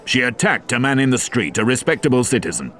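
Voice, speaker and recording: A stern, cold police inspector in a storybook reading, insisting on punishment with an unbending tone, as a man voices him. His voice sits a touch higher than the narration and moves animatedly. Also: very animated, quick pace, fairly bright tone.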